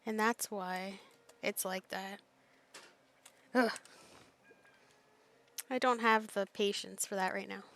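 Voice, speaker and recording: A woman's voice: medium pitch, fairly even intonation, takes it slowly, pauses often, a bit bright. A woman giving casual, playful live commentary as she plays a video game, dropping in cutesy little interjections. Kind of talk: casual gameplay commentary, chatting to herself.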